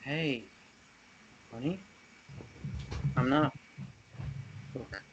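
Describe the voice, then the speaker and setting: A man playing a gentle, heartbroken partner in a table read of a drama, speaking softly and haltingly. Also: medium pitch, dull audio, slight background noise. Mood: gentle concern. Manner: halting, soft-spoken. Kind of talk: acted screenplay table read.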